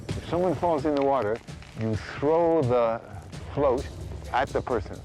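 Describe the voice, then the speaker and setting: A man's voice: medium pitch, unhurried, over music, rough recording. A man speaking as a team leader in a casual safety briefing.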